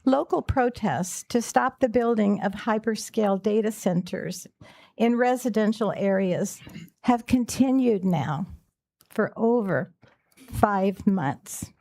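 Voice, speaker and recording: Slow, gravelly woman reading questions. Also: medium pitch, dark tone. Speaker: public commenter. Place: county commission meeting room.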